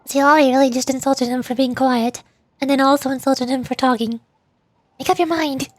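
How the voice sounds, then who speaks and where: A woman's voice: high voice, fairly even intonation, normal speed. A woman streamer giving casual, chatty, playful commentary on a game. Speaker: streamer, VTuber.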